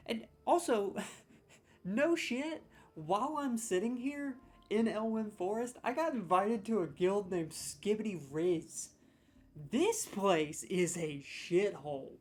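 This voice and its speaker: A man hosting a comedic video, ranting sarcastically with mock outrage as he scores game voice lines. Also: sits high.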